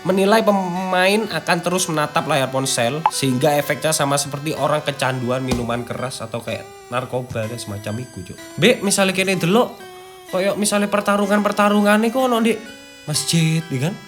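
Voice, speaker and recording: Higher-voiced East Javanese man, slangy chat. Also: brisk. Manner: slangy, peppering talk with interjections. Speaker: video host. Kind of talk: casual chatty commentary, partly reading out an article. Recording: background music under the talk.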